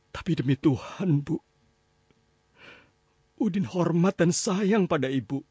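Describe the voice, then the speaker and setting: A husky, remorseful husband. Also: male voice, fairly high pitch, a little mellow, no room sound. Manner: pleading, apologetic. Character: remorseful young husband returning home. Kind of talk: radio drama acting.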